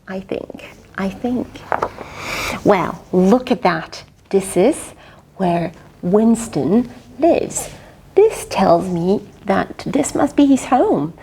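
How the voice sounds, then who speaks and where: A woman's voice: mid-range voice, mellow, slight background noise. A woman reading a picture book aloud to children, coaxing them with questions about the story. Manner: coaxing, asks the listener questions. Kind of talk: interactive read-aloud for children.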